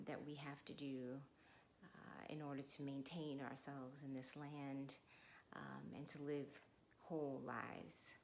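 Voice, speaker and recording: A middle-aged woman speaking with measured, deliberate phrasing, as a guest reader at a literary read-along. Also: a bass voice, a little hiss or hum. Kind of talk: informal introduction, then literary read-aloud.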